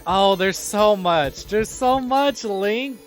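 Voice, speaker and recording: A man streams a story game in a slightly high voice. He reacts casually, teasing and excitable, with soft game music in the background. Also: slow. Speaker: livestream host playing a visual novel. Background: game audio and music.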